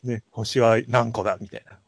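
A man's voice: mid-range voice, fairly even intonation, normal speed, creaky, no room sound. A man co-hosting a casual film chat, musing over scenes and putting questions to his partner. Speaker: podcast co-host.

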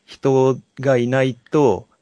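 A man's voice: mid-range voice, little pitch movement, slow pace, muffled recording, a crowd in the background. A man co-hosting a podcast, chatting casually about films in polite but informal speech and feeling his way through stories. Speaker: podcast co-host. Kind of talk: casual film chat.

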